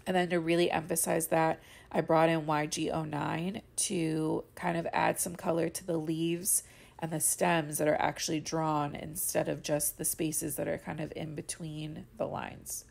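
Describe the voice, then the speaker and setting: A woman's voice: low voice, level tone, a little slow, gravelly, a little mellow. A young woman with a stuffy, congested and slightly cracking voice, chatting through a casual voiceover for a coloring tutorial. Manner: chatty, rambling with fillers. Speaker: card-making channel host. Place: home studio voiceover.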